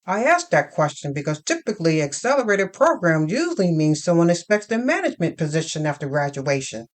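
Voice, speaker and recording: A woman's voice: sits low, very animated, fairly quick. A woman voicing a pompous, probing older male HR director in an audio drama, boastful and pointed in his questions. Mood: pointed, self-important.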